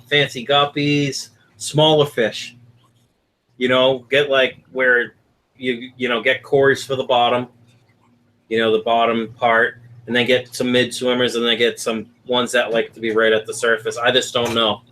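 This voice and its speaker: Male stream host, bright tone, rambling, filler-heavy chat reader. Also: mid-range voice, flat delivery, measured pace. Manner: rambling, reads comments aloud with fillers. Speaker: livestream host reading viewer chat. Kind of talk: casual live-stream chat. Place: home in Florida.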